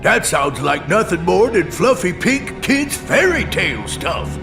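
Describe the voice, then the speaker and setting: A man voicing a big, oafish cartoon villain in a video game, gravelly, brash and slangy. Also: sits high, big pitch swings, a little slow, music in the background, exaggerated voice. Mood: greedy, doubtful.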